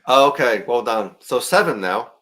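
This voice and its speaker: A man's voice: mid-range voice, lively intonation, moderate pace, a bit bright. A man in his fifties hosting a livestream chat, upbeat and joking as he questions his guests. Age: 50s. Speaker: host.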